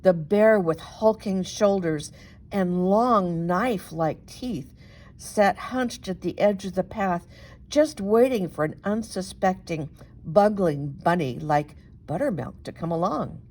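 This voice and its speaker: A woman reading a children's story aloud as an expressive narrator, with dramatic, deliberate emphasis. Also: lowish voice. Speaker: storybook reader, reverend.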